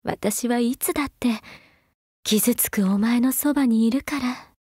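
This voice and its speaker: High-voiced, doting, seductive mother figure. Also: a little slow, dark tone, no echo, clean studio sound. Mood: tender, soothing. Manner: coaxing, breathy-whispering at times, archaic feminine phrasing. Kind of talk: Japanese mobile game character voice lines.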